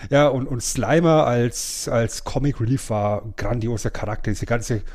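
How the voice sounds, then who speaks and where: A man's voice: medium pitch, steady tone, moderate pace, darkish tone. A middle-aged man co-hosting a podcast, chatting casually with a wry, self-deprecating manner. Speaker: podcast co-host. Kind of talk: casual chat.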